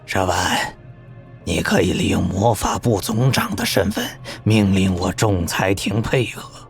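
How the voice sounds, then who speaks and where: An actor playing a wry, shrewd old judge in an animated drama, needling and unhurried. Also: male voice, fairly low pitch. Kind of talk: animated audio drama acting. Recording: background music under the voice.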